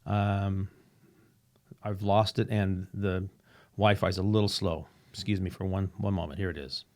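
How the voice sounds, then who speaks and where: A man hosting his own podcast, talking earnestly and reflectively, with frequent restarts and a slightly rough voice. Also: low voice, fairly even intonation, darkish tone. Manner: earnest, halting, with many restarts and fillers. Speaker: podcast host. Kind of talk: reflective solo podcast monologue. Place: home room with a painting behind him.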